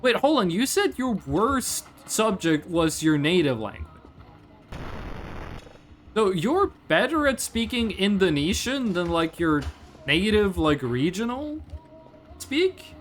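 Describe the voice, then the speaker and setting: Male game streamer, native Norwegian speaker. High register, slow delivery, rambling off-the-cuff chat with casual swearing. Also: sing-song, a bit bright, faint music behind. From Norway. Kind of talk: casual livestream chat over gameplay.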